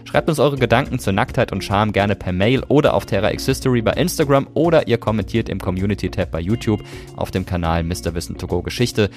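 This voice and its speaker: A man's voice: fairly low pitch, lively intonation, quick pace, soft background music. A man, hosting a podcast with scripted narration. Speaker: podcast host.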